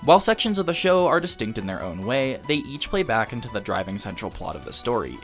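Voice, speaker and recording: A man's voice: mid-range voice, big pitch swings, fast talker, a bit bright, soft background music. A man delivering scripted video essay narration as the host of an anime review.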